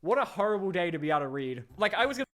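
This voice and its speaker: A man's voice: high-pitched, sing-song, rapid-fire, fairly bright tone. A man giving casual, informal film review commentary with dry jokes. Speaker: video commentator.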